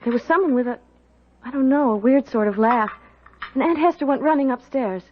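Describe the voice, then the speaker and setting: A woman's voice: high voice, expressive, fairly quick, slight background noise. An actress playing a timid, polite young serving girl in a 1970s radio drama, hesitant and deferential. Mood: polite, uneasy. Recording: dull old radio broadcast, music behind.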